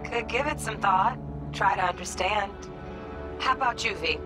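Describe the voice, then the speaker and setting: An actress playing a crisp, wry young female hacker talking over a comms line in a game, with music behind. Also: mid-range voice, normal speed. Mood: businesslike. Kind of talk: game dialogue.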